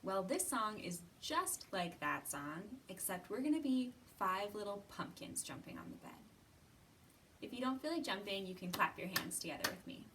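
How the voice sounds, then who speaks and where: A woman librarian giving a storytime reading for young children, sing-song and expressive, pausing to ask the little listeners questions. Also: medium pitch, slight background noise.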